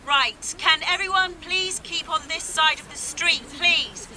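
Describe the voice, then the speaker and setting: An actress playing a brisk protest marshal calling out instructions to a group in an audio drama. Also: female voice, very high-pitched, sharp tone, a little hiss or hum, exaggerated voice. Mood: brisk, organising.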